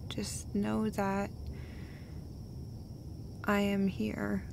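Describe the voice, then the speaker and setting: A woman plays a gentle, caring girlfriend in an intimate audio roleplay. She speaks slowly and hesitantly, soft and breathy with gravelly fry, often dropping toward a whisper. There is clear background noise behind her. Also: medium pitch, completely flat delivery. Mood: gentle, reassuring. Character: caring, gentle, casually sweary girlfriend comforting her partner.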